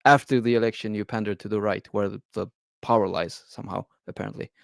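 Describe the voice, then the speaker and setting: A man's voice: lowish voice, fairly even intonation, quick pace, gravelly, no echo, studio quality. A man from Turkey, a guest on a livestream panel, speaking casually with many fillers.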